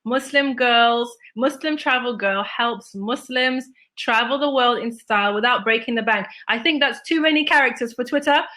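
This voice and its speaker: A woman hosts a relaxed conversation, upbeat and playful and quick with shout-outs. Her voice sits fairly high and sounds bright and lively. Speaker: magazine editor hosting a video chat. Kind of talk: casual chat.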